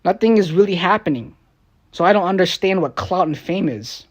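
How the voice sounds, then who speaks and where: A young man, a streamer and rapper, ranting on a live stream in a rambling, profane, frustrated way. He drops into low mutters and then bursts out loud. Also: lively intonation, moderate pace. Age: young adult. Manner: swings between low mutters and raised outbursts.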